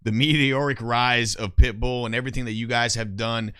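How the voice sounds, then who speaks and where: An American man hosts a casual interview show, speaking in a slangy and effusive way. His mid-pitched voice sits in a dry recording with very little room sound. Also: fairly even intonation, a little fast, sharp tone, clear, resonant tone, no room sound. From Miami, United States. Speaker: podcast host interviewer.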